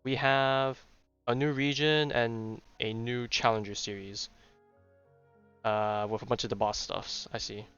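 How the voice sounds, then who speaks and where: A man's voice: medium pitch, fairly even intonation, unhurried, a bit bright, clean tone. A man streaming a video game, chatting casually and thinking aloud in a rambling way, often repeating his words. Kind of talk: casual livestream gaming chat. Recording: dull lo-fi mic, game music behind. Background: video game music.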